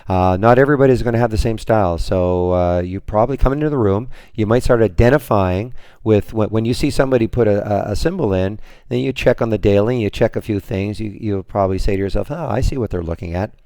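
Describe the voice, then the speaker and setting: A man with a lowish, hoarse voice recaps his trades for a trading room, wandering and restarting as he talks. The sound is dry and close, like a desktop computer mic in a home office. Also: fast talker, few pauses, darkish tone, no room sound. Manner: rambling, many restarts and fillers. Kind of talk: screen-share trading recap.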